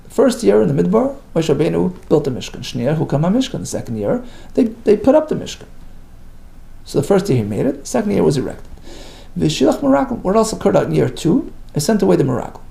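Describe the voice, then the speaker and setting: Lively, gravelly man teaching Talmud. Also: sits high, fast talker. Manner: reads Hebrew and Aramaic phrases then translates, lively and emphatic. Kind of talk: daily page lesson, reading and explaining.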